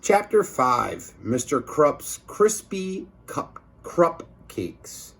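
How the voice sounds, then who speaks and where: Slow-paced man reading a children's book. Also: a little high, gravelly, husky. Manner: expressive, emphasizing words for children. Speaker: teacher reading aloud to students. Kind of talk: children's book read-aloud.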